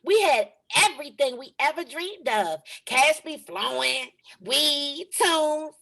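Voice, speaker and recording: An actress at a table read playing a brash, slangy young granddaughter. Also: female voice, sits high, expressive, takes it slowly, gravelly, bright, forceful tone, full character voice. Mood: sassy. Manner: sassy, slangy.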